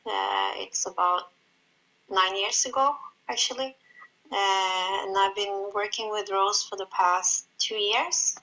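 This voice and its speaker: Bright-voiced woman, flat delivery. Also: mid-range voice, slow pace, vocal fry, rough recording. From Sweden. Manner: hesitant, many fillers, encouraging. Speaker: guest, jiu-jitsu event organizer. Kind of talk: casual interview chat.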